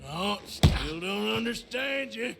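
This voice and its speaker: Gruff, slow-talking American outlaw. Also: male voice, high voice, lively intonation, heavily acted. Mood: threatening. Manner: menacing, sardonic, exasperated. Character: gruff, impatient male outlaw debt collector in the 1899 American West. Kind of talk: video game acting. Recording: loud music behind the dialogue.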